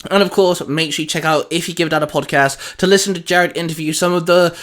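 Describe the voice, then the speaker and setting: A man's voice: a little high, steady tone, fast talker, a bit bright. A man co-hosting in a casual, geeky chat, talking in an enthusiastic, informal way. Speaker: co-host of a hobby video channel.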